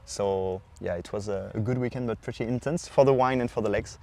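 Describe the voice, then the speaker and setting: A man from France with a French accent, a non-native speaker, explaining wine informally as a podcast sommelier with hesitant, halting phrasing. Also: lowish voice, creaky, warm tone.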